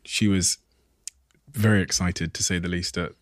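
A man with a very deep, low voice putting questions to a guest. He is thoughtful and feels his way toward each question. The sound is dry and close to the mic. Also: steady tone, normal speed, no echo. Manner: thoughtful, searching for words as he frames questions. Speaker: interview host. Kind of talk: conversational interview questions.

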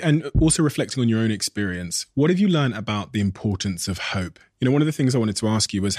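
A man's voice: on the low side, no echo, clean studio sound. A man hosting an interview, asking questions thoughtfully and searching for words as he frames them. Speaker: interview host.

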